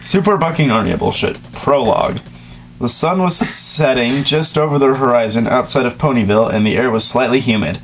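Young male co-host, mid-range pitch, reads casually and sarcastically with stumbles, slight background hum. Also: brisk. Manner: sarcastic, stumbling over words when reading. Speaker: co-host reading fan fiction aloud. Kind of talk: casual read-aloud with joking asides. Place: small bedroom, indoors at night.

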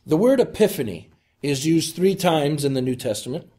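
A man delivering a scripted homily as a preacher, in solemn, deliberate phrases. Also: a little high, lively intonation, warm tone.

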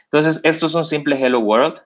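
A man's voice: a little high, a little fast. A young man with a Mexican accent giving a live coding demo at a meetup, explaining step by step with many fillers and restarts. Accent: Mexican Spanish. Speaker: meetup speaker. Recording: dull lo-fi stream audio.

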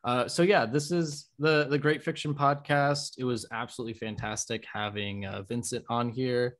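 A man's voice: mid-range voice, fairly even intonation, normal speed, fairly bright tone. A man in his twenties chatting casually as the host of a podcast interview over a video call. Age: 20s. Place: room at home, video call.

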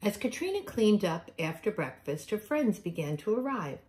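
A middle-aged woman reading a picture book aloud for young children in a deliberate, expressive storytelling way, indoors at home. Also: mid-range voice, poor audio.

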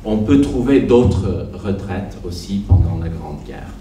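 A man in his forties, not a native French speaker, giving an academic lecture at a conference, pausing often with fillers as he speaks into a handheld microphone. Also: on the low side, lively intonation, dark tone, slight background noise. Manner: careful, hesitant with frequent pauses and fillers. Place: conference hall, seated at a panel table. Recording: echoey hall, slightly distant.

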